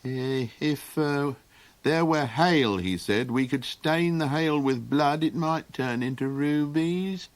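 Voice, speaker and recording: A man's voice: fairly high pitch, slow. A man voicing a scatterbrained old male Golox creature who rambles and hesitates in an audiobook. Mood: musing. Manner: rambling, hesitant with many ums. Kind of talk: audiobook character voice.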